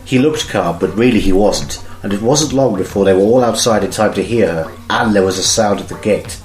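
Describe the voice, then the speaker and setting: A man's voice: fairly low pitch, a little fast, a little mellow, faint music behind. A man reading scripted narration as the narrator of an amateur audio drama. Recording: roomy, echoing home recording.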